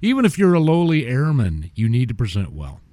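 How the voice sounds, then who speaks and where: A man's voice: mid-range voice, wildly swooping pitch, a little fast, warm tone, dry recording. A middle-aged man speaking as a studio guest, with a mid-range voice. He is genteel and chatty, sometimes restarting a phrase, and the recording is close and dry. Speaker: guest audio-video installer. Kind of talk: casual radio chat. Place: radio studio.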